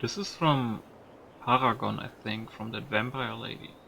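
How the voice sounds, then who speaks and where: A man talks through a video game in a casual, musing way. His voice is bright and forward with a creaky, gravelly edge. There is faint noise behind him, and he swears lightly now and then. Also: medium pitch, normal speed. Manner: musing aloud, mildly profane. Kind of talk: casual gameplay commentary.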